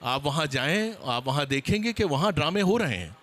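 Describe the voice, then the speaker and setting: A man's voice: on the high side, expressive, moderate pace, a bit bright. A man giving an explanatory talk as a panelist at a seminar, checking that listeners follow.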